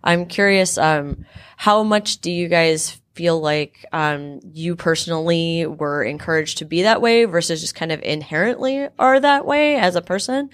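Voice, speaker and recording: A woman hosting a panel discussion, curious and affirming in a conversational way. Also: sits low. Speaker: host.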